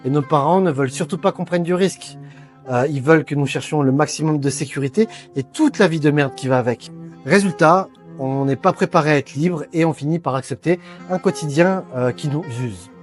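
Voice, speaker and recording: A middle-aged man giving an earnest sales pitch for a training program, talking straight to the listener and stumbling over frequent fillers. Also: a little fast. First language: French. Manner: hesitant, many fillers, earnest persuading. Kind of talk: direct-to-camera sales pitch. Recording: faint background music.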